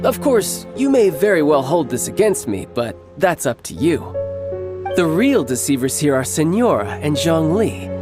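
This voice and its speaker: A man voicing a cocky, playful young warrior and doting big brother in a video game, smooth-talking and theatrical. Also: a little high, sing-song, moderate pace. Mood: breezy teasing. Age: young adult. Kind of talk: video game character acting. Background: music.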